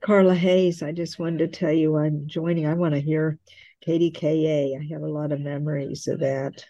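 A woman from the Pittsburgh area chatting casually on a group call, halting and a little tentative. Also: sits low, level tone, warm tone, dry recording, lo-fi sound. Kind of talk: casual chat.

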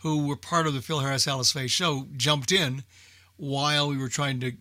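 A man hosting a casual, unscripted radio talk show, speaking in a halting way and repeating words as he thinks. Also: medium pitch, steady tone, sharp tone, no room sound.

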